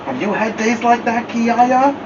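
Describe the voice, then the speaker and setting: A man hosting a children's story time, speaking expressively with theatrical, drawn-out emphasis on words. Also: very high-pitched, distant mic, lo-fi sound, very noisy. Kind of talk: expressive picture-book read-aloud.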